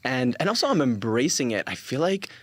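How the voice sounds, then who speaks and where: A man's voice: mid-range voice, very animated, fairly quick, no room sound. A forty-year-old man speaking casually as an interview guest, earnest and emphatic, repeating words and acting out quoted dialogue. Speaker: interview guest, influencer marketing company founder.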